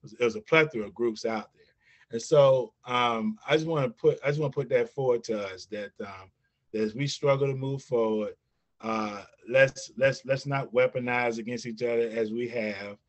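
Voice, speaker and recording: A man's voice: mid-range voice, moderate pace. A man speaking earnestly on an online panel, repeating words as he urges his movement toward unity. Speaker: veteran movement leader, panelist.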